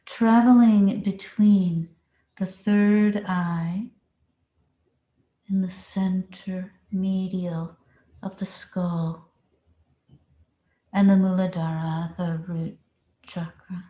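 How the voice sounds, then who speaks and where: Very slow woman guiding meditation, roomy sound. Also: lowish voice, level tone, warm tone, dull audio. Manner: slow, deliberate instructing with long pauses. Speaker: meditation teacher. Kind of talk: guided meditation. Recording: roomy, echoing space.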